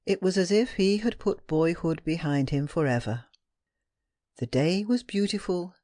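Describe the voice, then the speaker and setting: Expressive, tender narrator in a dry recording. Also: mid-range voice, no echo. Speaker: audiobook narrator. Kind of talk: expressive scripted narration of classic fiction.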